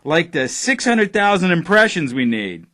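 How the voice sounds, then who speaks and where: An eager, defensive station owner played by a man in a satirical audio drama. His voice is on the high side for a man and swings widely in pitch. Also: very animated, normal speed, pushed and bright. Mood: businesslike.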